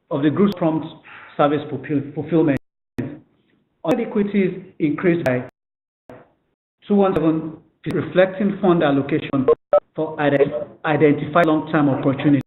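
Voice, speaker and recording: Male executive, slightly high voice, formal and halting scripted presentation over a thin phone conference line. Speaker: company executive presenting financial results. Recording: tinny, echoey telephone conference line.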